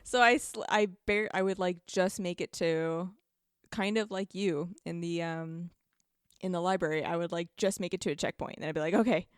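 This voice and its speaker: Low-pitched woman chatting casually, dry close sound. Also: steady tone, moderate pace, clean tone, dry recording. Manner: informal, dramatizes moments with repeated phrases. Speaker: podcast guest. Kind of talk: casual chat, animated storytelling about gaming.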